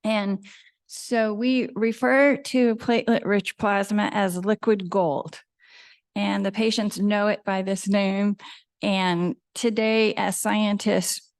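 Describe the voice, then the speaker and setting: Measured woman lecturing, slightly gravelly. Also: mid-range voice, fairly even intonation, no echo. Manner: measured, explanatory, frequent ums. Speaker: dermatologist giving a lecture. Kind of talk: lecture presentation. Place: lecture hall with live audience.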